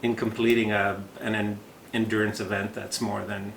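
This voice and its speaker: A man hosts a relaxed interview, his voice gravelly and full of pauses and fillers. He laughs easily between questions. The room is echoey, with a faint background hiss. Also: lowish voice. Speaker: podcast host. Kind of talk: casual interview chat.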